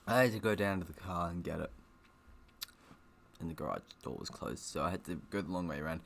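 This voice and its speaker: A man's voice: low voice, brisk, creaky, fairly bright tone. A man reading a game's story aloud with casual, rambling asides, breaking off to comment as he goes. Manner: rambling, self-interrupting. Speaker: gaming video host.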